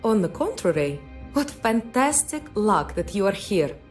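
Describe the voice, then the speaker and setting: A woman's voice: medium pitch, sing-song, measured pace, faint music behind. An actress playing a well-bred woman under questioning in a mystery game, teasing and polite with a touch of nerves. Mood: playful, excited. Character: coy, well-bred woman suspect, playful yet nervous. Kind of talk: video game voice acting.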